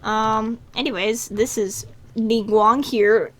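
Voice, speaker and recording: A woman's voice: a little high, fairly even intonation, slow pace, a little hiss or hum. A woman streamer giving casual, offhand gameplay commentary with dry, self-amused quips. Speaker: video game streamer.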